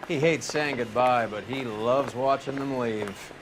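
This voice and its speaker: An actor playing a sly, mocking 1940s detective who trades wisecracks and insults. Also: male voice, mid-range voice, measured pace, background noise, close to an everyday voice. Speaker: police detective partner. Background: music score.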